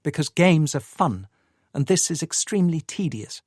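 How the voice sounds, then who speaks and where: A man's voice: on the high side, expressive, moderate pace, darkish tone, dry recording, clean studio sound, voice pushed up. A man reading aloud, voicing a cool, evasive young woman patient who speaks in a dismissive, sarcastic way. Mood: mocking.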